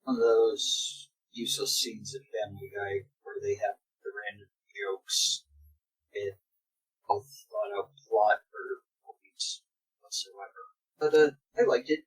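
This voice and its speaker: A man reading fan fiction aloud and commenting on it casually, in a halting manner. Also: lowish voice, sing-song, slightly rough, low-quality recording. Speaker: fan fiction podcast reader.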